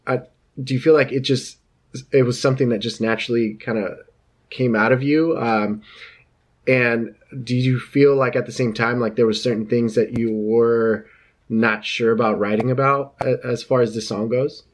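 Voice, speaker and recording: A man's voice: mid-range voice, fairly even intonation, moderate pace. A man interviewing a singer, chatty and upbeat, asking long, rambling questions.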